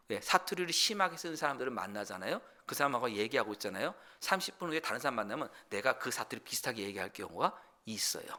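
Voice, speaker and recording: A man preaching a Sunday sermon as pastor, earnest, shifting between loud pleading passages and quiet explanation. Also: medium pitch. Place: church sanctuary.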